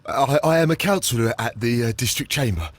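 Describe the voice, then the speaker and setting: An actor playing a nervous, haunted councillor in a video game, stammering heavily in a hesitant, apologetic way. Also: male voice, medium pitch, a little fast, close to an everyday voice. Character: nervous, haunted, guilt-ridden male councillor and archaeology enthusiast. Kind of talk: scripted video game acting.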